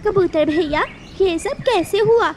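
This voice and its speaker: A woman voicing a gentle, kind little female songbird in a cartoon, speaking sincerely. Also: very high voice, slow, pitched above the performer's own voice. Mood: concerned. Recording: clearly noisy background.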